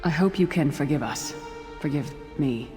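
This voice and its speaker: A woman's voice: sits low, expressive, moderate pace. An actress playing a blunt, loyal, plainspoken warrior woman in a fantasy game, speaking earnestly. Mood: apologetic. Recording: long reverb, music behind.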